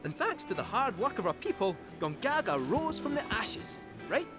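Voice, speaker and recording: Excitable scottish game sidekick, high voice that swoops around, music behind. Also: male voice, moderate pace. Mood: earnest. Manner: animated, folksy. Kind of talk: video game voice acting.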